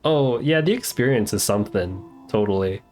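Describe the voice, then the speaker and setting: A woman's voice: extremely low pitch, lively intonation, moderate pace, a little mellow, faint music behind. A woman giving casual live commentary while streaming a video game.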